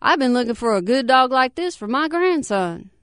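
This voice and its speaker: A woman's voice: sits high, lively intonation, normal speed, a bit bright, clear, resonant tone, dry recording, studio quality, voice pushed up. Woman doing a folksy friendly electrician. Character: friendly male electrician, easygoing grandfather.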